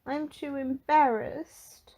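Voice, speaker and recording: A woman's voice: high-pitched, fairly even intonation, slow, dark tone, pitched above the performer's own voice. A woman voicing a bashful, self-conscious young man from a children's storybook, speaking sheepishly. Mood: embarrassed, sad. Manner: sheepish, confessing. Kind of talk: storybook character voice.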